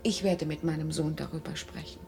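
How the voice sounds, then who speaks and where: An actress in a German radio drama playing a middle-aged employer, formal, courteous and quietly authoritative. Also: female voice, sits low, fairly even intonation, brisk, soft background music. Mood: businesslike. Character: composed, businesslike female employer with a grown son.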